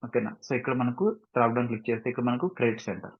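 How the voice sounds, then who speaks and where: A man with an Indian accent giving an informal step-by-step software tutorial as instructor, mixing in English phrases and often checking understanding with short questions. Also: mid-range voice, little pitch movement, racing, slightly rough. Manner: mixes English phrases into his explanations. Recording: dull, muffled lo-fi microphone.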